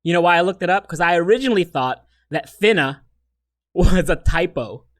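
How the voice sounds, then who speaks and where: A man's voice: high voice, lively intonation, moderate pace. A man with an American accent in casual podcast chat, talking in a slangy, profane, joking way. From United States. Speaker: podcast co-host.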